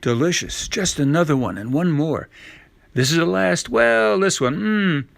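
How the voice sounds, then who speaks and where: A man's voice: on the high side, animated, a little slow, creaky, no room sound, voice pushed up. A man voicing a sweet, innocent little girl in a storybook tale, with an eager, childlike, exclaiming manner. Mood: delighted.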